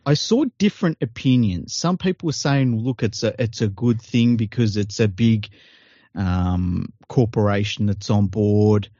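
A man from Western Sydney with an Australian accent, co-hosting a casual sports podcast, blunt and quick to swear and laugh at his own jokes. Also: lowish voice, warm tone, no room sound, muffled recording. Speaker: podcast co-host.

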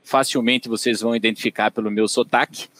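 Caipira-accented Brazilian man, measured talk. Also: mid-range voice, steady tone, a bit bright. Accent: countryside São Paulo (caipira). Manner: measured, with frequent hesitations. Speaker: guest, company director. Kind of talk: conversational interview.

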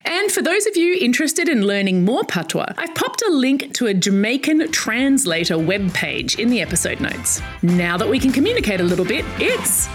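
A woman narrates an educational show for children in a fairly high, very animated voice. She talks straight to young listeners and drops in playful asides. Faint music plays along with her. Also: normal speed, hardly any pauses, husky. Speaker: podcast host for children. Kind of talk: scripted educational narration for kids.

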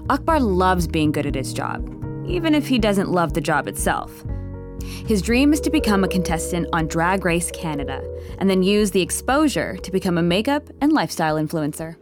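A woman's voice: on the low side, sing-song, moderate pace. A woman performing composed, wry scripted narration as the storyteller of a comedy audio drama. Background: music.

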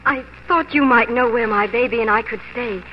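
A woman's voice: fairly high pitch, moderate pace, natural voice. A young woman acting a determined, proud pioneer wife in 1940s radio drama style. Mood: pleading. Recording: muffled old radio broadcast, faint noise.

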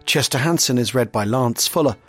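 A man's voice: mid-range voice, a little fast, faint music behind. A man presenting a podcast in scripted narration, introducing readings and giving credits.

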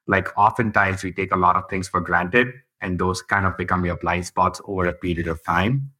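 A man's voice: sits low, fairly even intonation, normal speed, sharp tone. A man from India, a podcast guest, chatting casually and thinking aloud, laughing easily. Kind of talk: casual interview chat.

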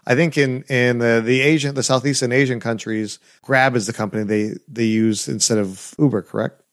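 A man hosts a casual interview, asking conversational questions and often restarting his sentences. His voice sits at a middle pitch and sounds close and dry. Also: steady tone, normal speed, no echo. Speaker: podcast host.

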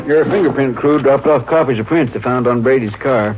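An actor playing a cocksure, folksy Texas sheriff with a rural American accent in a 1950s radio drama. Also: male voice, medium pitch, brisk, music in the background, lightly acted. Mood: sure of himself. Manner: plain-spoken, folksy. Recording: muffled old radio recording, heavy noise.

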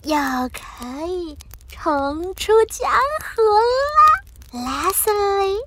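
A woman's voice: very high voice, animated, extremely slow, heavy background noise, exaggerated voice. A woman voicing a kindly, retired old witch grandmother in a children's audio story, sighing and grumbling like an old lady. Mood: cheerful. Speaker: voice actor. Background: children's music.

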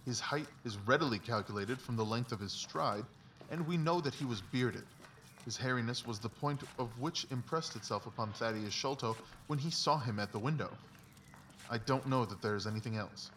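A man playing a brilliant, confident, philosophical detective in a dramatized audiobook, explaining things in a measured, self-assured way. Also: mid-range voice, fairly bright tone, recorded from a distance, a little hiss or hum, lightly acted, rough recording. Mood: confident, analytical.

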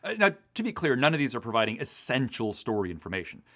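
A man's voice: mid-range voice, very animated, fast, bright, forceful tone. An American man, a podcast co-host and writer, talks in an articulate, explanatory way in a conversational discussion.

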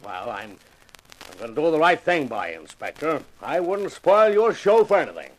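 A sarcastic, animated, high-pitched police inspector. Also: male voice, moderate pace, poor audio. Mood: mocking. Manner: mocking, heavily sarcastic, needling. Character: gruff sarcastic homicide police inspector, taunting. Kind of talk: 1950s radio drama acting.

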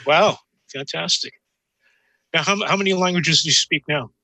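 A male interviewer with a strikingly bright, somewhat high voice and a dry, close sound. He hosts casually, phrasing questions haltingly and adding brief, interested interjections. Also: no echo, low-quality recording. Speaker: interview host.

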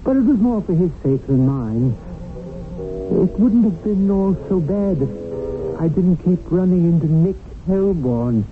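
A man's voice: high voice, expressive, a little slow, phone-quality sound. A man playing an earnest, worried guardian spirit in a 1970s radio drama, rueful and confiding. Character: earnest, worried male guardian spirit telling his story. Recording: thin 1970s radio recording with music. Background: music.

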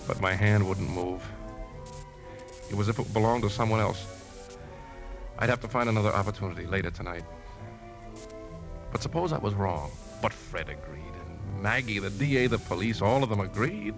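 A man playing a brooding, secretly scheming leading man in a 1950s radio drama, speaking in a measured, confiding way. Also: fairly low pitch, lively intonation, gravelly. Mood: brooding, tense. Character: brooding man secretly plotting to poison a woman. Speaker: lead actor and narrator. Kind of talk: 1950s radio drama, first-person narration and dialogue. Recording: vintage 1950s radio with music.

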